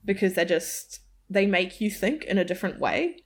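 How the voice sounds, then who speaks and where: A woman's voice: medium pitch, animated, normal speed. A young woman, co-hosting a casual podcast, chatty with lots of fillers and laughs. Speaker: podcast co-host.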